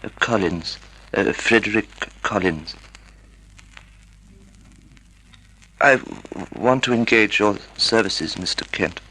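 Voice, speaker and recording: An actor playing a timid, polite, half-blind older husband who hesitates and stammers, in an old-time radio drama. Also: male voice, lowish voice, a little slow, bright, forceful tone, husky, full character voice, rough recording. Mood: hesitant, earnest. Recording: vintage radio drama recording.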